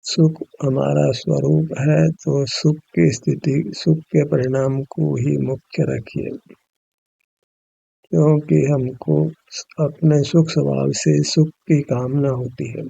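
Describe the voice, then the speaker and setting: A man leading a guided meditation as a spiritual teacher, in a measured, instructive way, on a dull, muffled recording. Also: a little high, little pitch movement, gravelly, mellow, slightly rough, no room sound.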